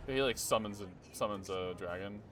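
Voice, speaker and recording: A man's voice: on the low side, normal speed. A young man casually commenting on his gameplay, thinking aloud, swearing and chuckling often. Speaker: game streamer. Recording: game music behind.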